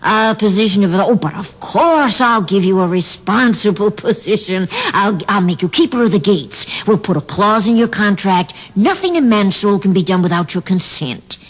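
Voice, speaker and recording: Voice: mid-range voice, animated, normal speed, few pauses, lightly acted, low-quality recording. Someone playing Diabolus, an oily mocking devil. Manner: oily, wheedling, theatrical with fussy asides. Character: scheming male devil tyrant, smooth and mocking.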